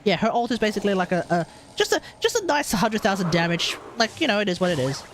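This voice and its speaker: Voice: mid-range voice, big pitch swings, fast, a little hiss or hum. A streamer with an Australian accent giving casual, rambling, jokey live commentary on a game. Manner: rambling, jokey, easily amused.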